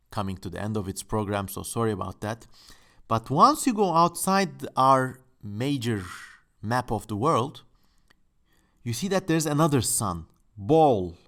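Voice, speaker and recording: A man speaking English hosts his channel from home, his voice sitting at an ordinary middle pitch. He muses along casually and playfully, with a tongue-in-cheek, joking manner. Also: a bit bright. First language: Turkish. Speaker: channel host. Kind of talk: casual exploratory commentary.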